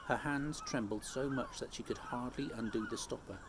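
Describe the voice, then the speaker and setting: A man reading a children's story aloud like a teacher, in an expressive storytelling style. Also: mid-range voice, moderate pace, background noise.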